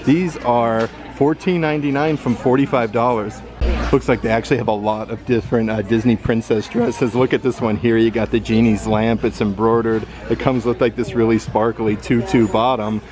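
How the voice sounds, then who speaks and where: Male American shopping vlogger, mid-range voice, casual and enthusiastic show-and-tell, clear music bed underneath. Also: medium pitch, steady tone, moderate pace. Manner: upbeat, enthusiastic showing-and-telling. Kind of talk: casual vlog narration of store items. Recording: music behind the voice. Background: background music.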